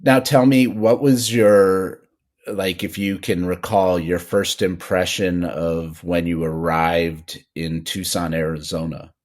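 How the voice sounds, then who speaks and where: Deep-voiced, slow-talking interview host. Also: flat delivery, a little mellow. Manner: curious, asks long searching questions. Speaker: podcast host. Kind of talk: interview conversation.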